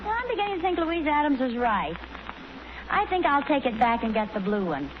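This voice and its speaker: High-voiced gentle sitcom mom, noisy old radio. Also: female voice, sing-song, fairly quick. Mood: gently confiding. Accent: American. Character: gentle, indecisive, fondly secretive sitcom mom. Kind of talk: 1940s radio sitcom acting. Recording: muffled, noisy 1940s radio broadcast.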